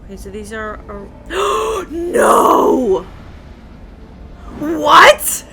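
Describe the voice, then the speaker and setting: A woman hosting casual gameplay commentary in an excitable, playful manner. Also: high voice, extremely slow, crowd noise. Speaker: gaming video host.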